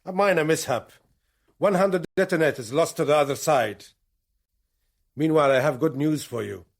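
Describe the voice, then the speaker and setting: An actor playing a stern, self-assured militant leader in a radio drama, speaking in authoritative, clipped declarations with a husky voice. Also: male voice, on the high side, moderate pace. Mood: assured, commanding.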